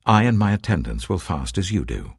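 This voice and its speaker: A British man voicing a brave, earnest queen in an audiobook, speaking formally and deliberately. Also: deep voice, big pitch swings, husky, no room sound, clean studio sound, full character voice. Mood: grave resolve. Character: brave, resolute young queen, earnest and courteous.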